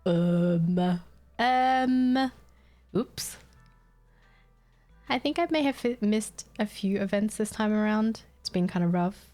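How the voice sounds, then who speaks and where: A woman's voice: mid-range voice, slow pace, long pauses, dark tone, soft background music. Tired giggly woman streamer. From Australia. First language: Vietnamese. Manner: tired, scatterbrained, giggly, reads chat aloud. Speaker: game streamer. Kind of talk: casual live-stream chat while gaming.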